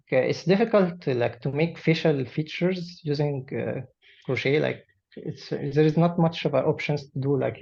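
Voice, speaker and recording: A man's voice: medium pitch, creaky, a little mellow, muffled recording. A young Egyptian man in his twenties, a native Arabic speaker, chatting hesitantly as an interview guest, with many fillers and restarts. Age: 20s. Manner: hesitant, heavy use of "like", frequent restarts and laughs. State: a little nervous. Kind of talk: casual interview chat.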